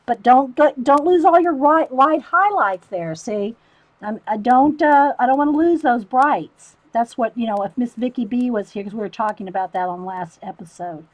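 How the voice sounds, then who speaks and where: A woman with a loud voice, chatting casually to livestream viewers while she shows a coloring technique. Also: a little high, moderate pace, no room sound, poor audio. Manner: rambling, talks to chat viewers by name.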